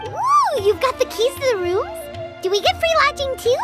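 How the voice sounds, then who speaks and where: Tiny curious game companion girl; piping high, lively swoops. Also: normal speed, soft background music, heavily acted. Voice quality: high and bright. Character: small cheerful girl companion, curious and chirpy. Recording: dry studio voice-over.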